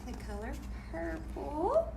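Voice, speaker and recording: Slow-talking woman leading children's storytime, roomy sound. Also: high-pitched, huge pitch swings, vocal fry. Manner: encouraging, simple teacherly phrasing. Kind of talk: children's storytime talk and read-aloud. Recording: roomy, distant mic, faint noise.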